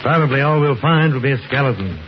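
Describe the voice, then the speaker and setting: An actor playing a seasoned, thoughtful professor and explorer, measured and authoritative, in 1950s radio drama style. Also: male voice, mid-range voice, lively intonation, natural voice. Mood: thoughtful, speculative. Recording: muffled, noisy old radio recording.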